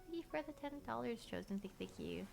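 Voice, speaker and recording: A woman's voice: a little high, lively intonation, quick pace, vocal fry, darkish tone, soft background music. A young woman whose first language is Korean, livestreaming casual chat with viewers. She rambles, fills her talk with "like," and laughs often.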